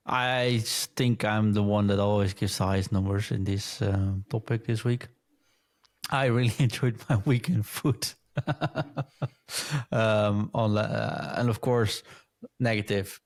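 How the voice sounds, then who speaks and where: A man with a fairly low voice runs a casual gaming podcast. He talks in a loose, rambling way, full of ums and restarts. The recording is dry and close, with no room sound. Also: no room sound. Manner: relaxed, rambling, many ums. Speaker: podcast host.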